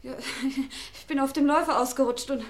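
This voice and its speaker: A woman's voice: high-pitched, fairly even intonation, a little fast, close to an everyday voice. An actress playing an evasive, intimidated woman in a radio crime drama, defensive and nervous.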